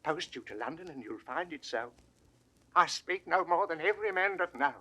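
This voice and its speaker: An actor in a 1960s Shakespeare audio drama playing a grave, plain-spoken head gardener with deliberate, declamatory delivery. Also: male voice, a little high, animated, sharp tone, exaggerated voice. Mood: apologetic, firm.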